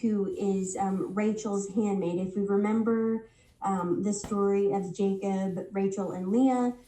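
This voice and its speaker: A woman getting over a head cold teaches a Bible study in a casual, halting way, with plenty of ums. Her voice is mellow and dark. She sits back from the mic, and the room rings around her. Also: medium pitch, fairly even intonation, roomy sound, recorded from a distance, poor audio. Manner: unhurried, many ums and restarts.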